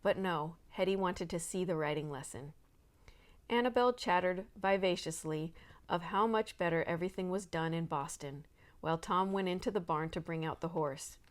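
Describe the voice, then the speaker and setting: A woman's voice: fairly low pitch, normal speed. A woman narrating a children's novel aloud, acting out the dialogue expressively. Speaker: storybook reader.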